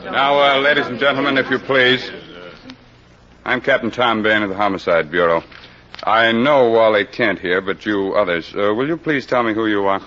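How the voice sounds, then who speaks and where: Low-voiced, wry police captain, old radio. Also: male voice, moderate pace, pushed and bright. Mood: businesslike. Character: brisk, wry homicide police captain questioning suspects. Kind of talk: old-time radio mystery acting. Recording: dull, band-limited old radio recording.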